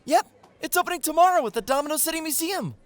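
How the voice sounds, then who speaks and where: A man voicing an innocent, eager teenage boy in an animated audio drama. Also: very high voice, fast, dry recording.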